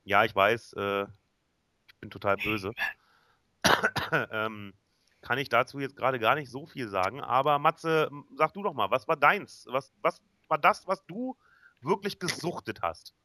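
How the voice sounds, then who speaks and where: A man hosts a casual podcast in a bright, crisp-edged tone. His voice sits at a middle pitch and is recorded dry and close, with very little room around it. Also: unhurried, no echo. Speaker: podcast host.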